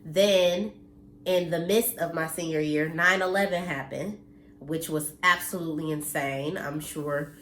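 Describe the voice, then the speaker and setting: A woman's voice: low-pitched, steady tone, a little slow, fairly bright tone, echoey room, slight background noise. A woman in her thirties from the United States, slightly nasal and congested from allergies, talking earnestly and bluntly to her viewers from home, cursing now and then. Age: 30s. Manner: earnest and blunt, mildly preachy, occasional cursing. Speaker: vlogger. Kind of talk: casual direct-to-camera talk.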